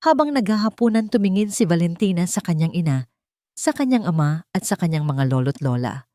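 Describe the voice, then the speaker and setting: A woman narrating a scripted sentimental drama story in a measured, dramatic storytelling manner. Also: fairly low pitch, expressive, warm tone, dry recording, clean studio sound.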